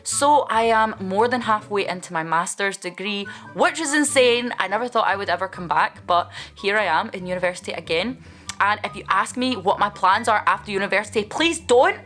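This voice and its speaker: A woman's voice: medium pitch, animated, normal speed, fairly bright tone, soft background music. A woman, vlogging in a chatty, candid way as she answers viewer questions, with playful asides. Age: 20s.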